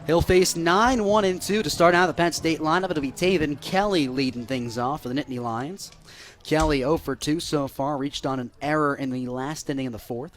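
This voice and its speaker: A young American man doing live radio baseball play-by-play, lifting into excited calls on big plays. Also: on the high side, normal speed, faint music behind. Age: young adult.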